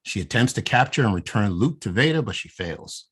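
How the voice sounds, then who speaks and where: A man co-hosting a casual podcast, reading a story recap in a steady, plain way with small asides. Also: lowish voice, a little fast, breathy edge. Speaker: podcast co-host.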